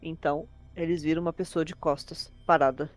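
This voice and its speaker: A woman's voice: deep voice, steady tone, a little fast. A woman with a Brazilian accent narrating a horror tale as host, in an informal, fluent, conversational way. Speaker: host and storyteller.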